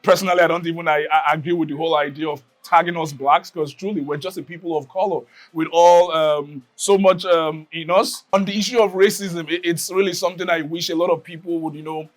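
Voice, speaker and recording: A man from Nigeria giving a reflective personal testimony, often pausing to search for words. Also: a little high, fairly even intonation, no room sound, soft background music. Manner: reflective, many fillers and restarts. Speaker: interviewee.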